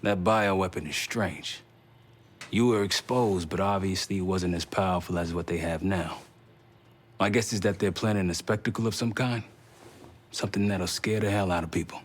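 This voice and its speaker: An actor playing a wary male intelligence operative with a husky voice in a game cutscene. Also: low voice, normal speed. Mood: pensive, wary. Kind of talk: restrained cinematic game acting.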